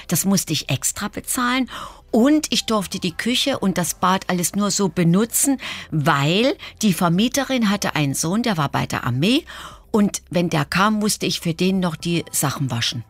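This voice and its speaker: An older woman with a Thuringian accent, a singer chatting as a guest in a studio interview and telling her stories in an animated way. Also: fairly low pitch, no room sound. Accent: Thuringian German. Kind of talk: casual interview talk. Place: radio or TV studio.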